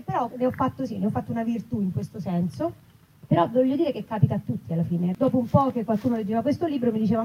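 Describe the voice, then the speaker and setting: A woman chatting casually as an interview guest about her own work, in a self-deprecating, digressive way. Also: on the high side. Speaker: actress and author, interview guest. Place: live festival event in an olive grove. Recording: dull, noisy live event recording with clicks.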